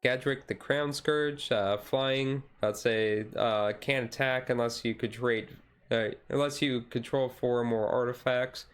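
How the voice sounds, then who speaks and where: A man giving casual commentary on a card deck as a streamer, thinking aloud in a halting way. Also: medium pitch, fairly even intonation, clean tone.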